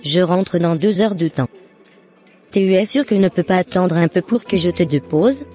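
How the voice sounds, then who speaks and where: A woman acting a skeptical young cousin in an animated drama, casual and teasing. Her voice is dark and relaxed, with soft music behind it. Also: on the low side, moderate pace, natural voice. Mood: caring. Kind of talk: scripted casual animated dialogue.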